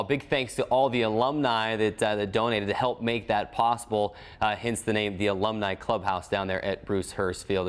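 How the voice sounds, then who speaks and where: A man's voice: fairly low pitch, smooth. A man with an American accent hosting a college sports recap show. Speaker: sports show host and play-by-play announcer.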